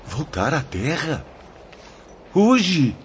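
A man's voice: fairly high pitch, big pitch swings, extremely slow, exaggerated voice. An actor playing a homesick, sentimental man in a radio drama, wistful and emotive. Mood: wistful surprise. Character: homesick, sentimental Portuguese male spirit. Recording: dull, faintly noisy audio.

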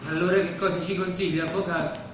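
A man's voice: sits high, level tone, quick pace, natural voice. An actor as deferential refugee man, far off. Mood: anxious. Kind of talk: staged radio drama acting. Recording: echoey stage from far off, noisy.

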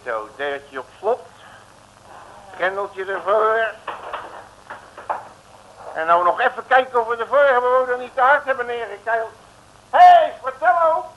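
An actor playing a brash, jovial Dutch detective with colloquial, wisecracking bluster in an old radio comedy serial. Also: male voice, extremely high pitch, expressive, a little slow, pauses often, a bit bright, muffled recording, full character voice. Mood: busy, conspiratorial. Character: brash, jovial, scrappy male detective, street-smart joker. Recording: noisy old radio recording.

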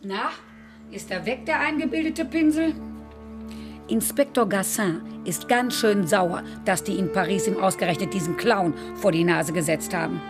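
An actress playing a brisk, outspoken, gossipy female housekeeper in a radio drama, in a homely and blunt manner. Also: medium pitch, natural voice. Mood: scornful, gossipy. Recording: prominent music under the voice.

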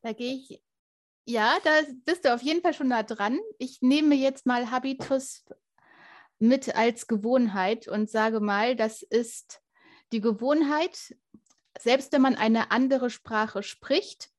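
A woman co-hosting a podcast with a voice a little higher than most, who jokes easily with her guests. She works through her guesses out loud in a relaxed, conversational way. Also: slow pace. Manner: jokey, reasons aloud. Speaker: podcast co-host. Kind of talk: casual podcast chat.

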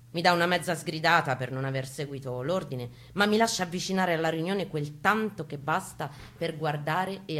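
A woman giving a theatrical, expressive first-person reading from a book, in a storytelling style. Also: fairly low pitch. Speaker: reader performing a book excerpt. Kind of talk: dramatic first-person literary reading with guitar. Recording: live reading over acoustic guitar. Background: acoustic guitar music.

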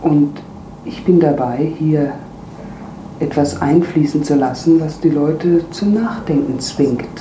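A medium-pitched speaker explains in a halting, deliberate manner. The recording is echoey, with clear noise behind the voice. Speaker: local political party member. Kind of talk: spontaneous explanatory speech.

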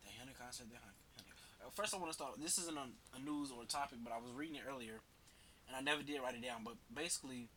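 A man's voice: mid-range voice, racing, sharp tone. A man with a head cold, talking casually and rambling as a podcast host, slangy and swearing freely. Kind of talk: casual solo commentary.

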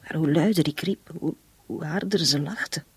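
Breathy, haunted woman confessing a crime. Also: low-pitched, dry recording, heavily acted. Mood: haunted, hushed. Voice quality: breathy, husky. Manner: halting, confiding, intense. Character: long-suffering household woman confessing to murder, bitter yet resolute. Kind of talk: radio drama acting.

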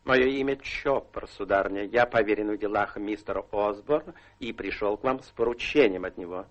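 A courteous gentleman lawyer in a radio drama, played by a male actor. His voice is on the low side, with measured, solemn phrasing and formal deference. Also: muffled recording, natural voice. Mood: formal courtesy. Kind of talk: Russian radio drama stage acting.

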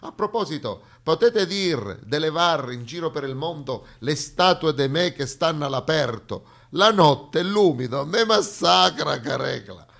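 A man's voice: on the high side, animated, unhurried, vocal fry, hardly any pauses, a bit bright, pitched above the performer's own voice, exaggerated voice. Grumbling, grandiose old general, played by a male stage actor, thick Genoese accent with a Spanish tinge, theatre monologue. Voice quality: thick foreign-tinged Genoese-style speech.

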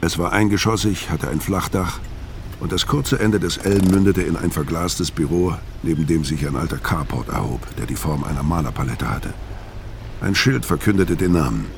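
An actor playing a gruff, laconic, sardonic killer on the run, hard-boiled and world-weary, in a radio crime drama. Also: male voice, low-pitched, normal speed, a little hiss or hum. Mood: brooding, watchful. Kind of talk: first-person crime narration and radio drama acting.

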